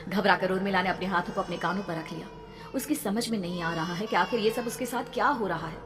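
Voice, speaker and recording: A woman's voice: mid-range voice, fast talker. A woman narrating a scripted horror story in a dramatic storytelling manner. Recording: reverberant, with background music.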